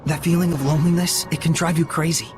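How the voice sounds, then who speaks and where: An actor playing an earnest, compassionate young hero in a video game, with a persuasive way of speaking. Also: male voice, fairly high pitch, fairly even intonation, fast talker, natural voice. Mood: gentle, earnest. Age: young adult. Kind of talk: dramatic video game voice acting. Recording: music behind the voice.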